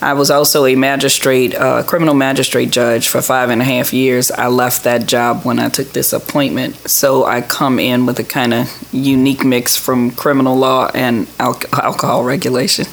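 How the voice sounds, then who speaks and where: A middle-aged woman answers interview questions as a government official. Her voice is markedly creaky. She explains things in a plain-spoken, conversational manner. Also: extremely low pitch, little pitch movement, moderate pace, few pauses.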